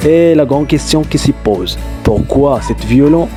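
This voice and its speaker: This is a Moroccan man with a Moroccan accent, Darija-born, who speaks in a soft, darkish tone. He tells his stories in a chatty, rambling way, with fillers and easygoing non-native phrasing. Quiet music sits faintly behind his voice. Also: mid-range voice, lively intonation, measured pace. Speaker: YouTuber. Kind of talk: casual vlog storytelling.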